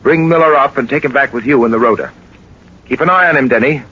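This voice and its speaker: An actor playing a suave, commanding gentleman detective in a 1940s radio drama, speaking with assured authority. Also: mid-range voice, fast, slightly rough. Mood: brisk, assured. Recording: noisy, dull 1940s radio recording.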